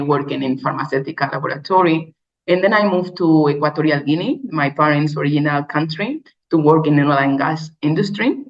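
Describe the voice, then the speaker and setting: A woman from Spain with a Spanish accent giving a personal keynote talk, speaking haltingly with frequent restarts and simple phrasing. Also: sits low, vocal fry. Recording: dull lo-fi recording.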